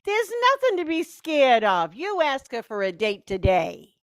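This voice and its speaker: A woman's voice: fairly high pitch, very animated, measured pace, no room sound. A woman playing a nagging, fussing mother. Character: fussing, encouraging mother of a grown son. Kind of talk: vintage radio play acting.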